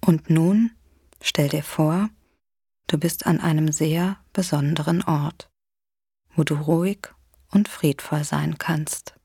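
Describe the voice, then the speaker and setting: Woman, meditation instructor, deep voice, very slow and gentle, long pauses, guided imagery narration. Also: steady tone, breathy edge, no room sound, studio quality.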